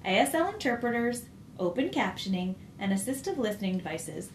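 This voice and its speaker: A woman working as a voice-over interpreter, reading a public service announcement clearly and at an even pace. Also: mid-range voice, fairly quick. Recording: echoey room with faint ambient hiss.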